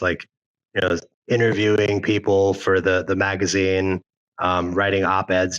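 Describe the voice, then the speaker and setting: A young man from Thailand, a guest on a sales podcast, explaining his ideas in a measured, analytical way. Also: sits low, fairly bright tone, rough recording. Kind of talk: podcast interview.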